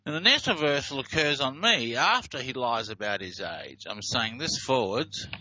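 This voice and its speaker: A man's voice: mid-range voice, animated, normal speed, muffled recording, noisy background. A man presenting and explaining recorded clips in a casual, hesitant way, with many fillers and restarts. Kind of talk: casual explanatory talk over played clips.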